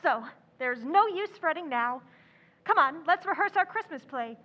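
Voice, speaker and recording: A woman's voice: high voice, lively intonation, normal speed. A woman playing a bold, tomboyish teenage girl in an old-time radio play, with a bossy, eager, theatrical delivery. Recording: dull lo-fi sound, faint music behind.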